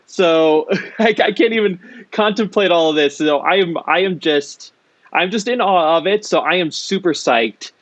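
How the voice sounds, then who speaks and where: A man hosting a podcast interview, effusive and halting as he speaks. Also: sits high, fairly bright tone, no room sound, lo-fi sound. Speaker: podcast host. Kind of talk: casual interview talk.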